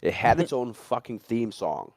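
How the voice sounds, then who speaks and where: A man's voice: medium pitch, very animated, moderate pace, creaky, darkish tone, no room sound, clean studio sound. A man hosting a livestream, chatting casually in a rambling, slangy way with frequent swearing. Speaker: livestream host. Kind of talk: casual chat.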